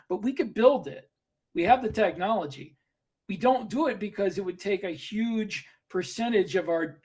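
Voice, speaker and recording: High-voiced man arguing methodically. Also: darkish tone, husky. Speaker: podcast co-host. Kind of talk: casual panel discussion.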